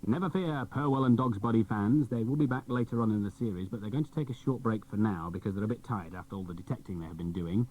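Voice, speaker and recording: A man's voice: fairly low pitch, quick pace, hardly any pauses, slight background noise. A man presenting scripted links on a children's radio programme. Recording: muffled, band-limited old cassette radio recording.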